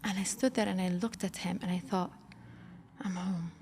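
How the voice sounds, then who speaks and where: A woman's voice: fairly low pitch, steady tone, normal speed, a little mellow. A middle-aged woman who grew up in Kuwait, speaking with passion as an interview guest and building to emphatic outbursts. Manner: impassioned, rising to emphatic outbursts. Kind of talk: emotional interview. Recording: long reverb hall.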